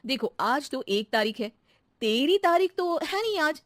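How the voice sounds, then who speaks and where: A woman's voice: sits high, sing-song, a little slow, a bit bright, no echo, clean studio sound, pitched above the performer's own voice, exaggerated voice. A woman voicing a level-headed housewife who teases her maid with mock-serious logic, in a cartoon story. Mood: teasing. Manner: sly, mock-serious teasing.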